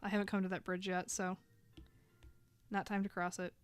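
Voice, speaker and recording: A woman's voice: mid-range voice, flat delivery, moderate pace, clear, resonant tone, soft background music. A woman in her thirties chatting casually while drawing on a live stream, rambling and filling gaps with "like". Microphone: desk microphone.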